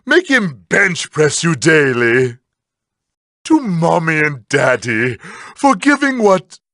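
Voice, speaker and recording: An actor playing a sardonic evil mad scientist villain in a game, with pompous, mocking, drawn-out theatrical delivery. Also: male voice, fairly high pitch, pushed and bright, no echo, clean studio sound, full character voice. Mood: gleefully sinister. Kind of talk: scripted comedic in-character monologue.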